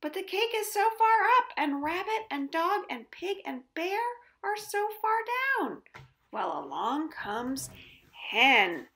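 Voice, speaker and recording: A woman reading picture books aloud to young children at home, very expressively, with drawn-out words. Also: sits high. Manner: expressive, with drawn-out words, sound effects and gasps. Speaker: children's storytime reader. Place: indoors at home. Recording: roomy, echoing home recording.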